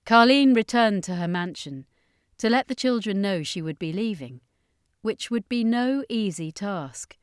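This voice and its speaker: A woman's voice: medium pitch, expressive, unhurried, clean tone, no echo, clean studio sound. A woman reading a scripted fiction story aloud as its narrator.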